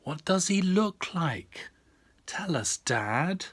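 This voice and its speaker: A man voicing a small, curious Gruffalo child in a bedtime storybook reading, using a higher, breathy whisper. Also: expressive, drawn-out words, fairly bright tone, full character voice. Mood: eager. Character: curious little Gruffalo child, wide-eyed.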